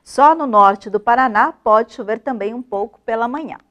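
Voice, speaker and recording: A woman presenting a weather forecast with smooth, scripted delivery in front of a map. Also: fairly high pitch, normal speed, a bit bright. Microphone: lapel mic.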